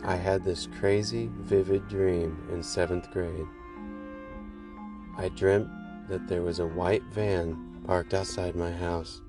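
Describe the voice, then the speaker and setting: A man hosting a podcast, reading creepy first-person stories aloud in a measured, deliberate narration. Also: low voice, level tone. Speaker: podcast host and reader. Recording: music under the voice. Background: background music.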